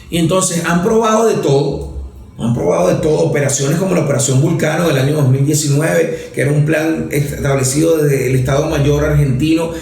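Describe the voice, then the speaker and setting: A man's voice: a little high, vocal fry, darkish tone. Man talking in an echoey room. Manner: fluent, many restarts and fillers, rhetorical questions. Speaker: lawmaker giving a talk. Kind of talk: conversational political talk.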